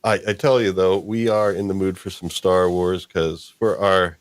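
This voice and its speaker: A man with a low voice hosts a loose livestream chat. He rambles playfully and improvises as he goes, and the sound is dry and close. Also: fairly even intonation, moderate pace, dry recording. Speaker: show host.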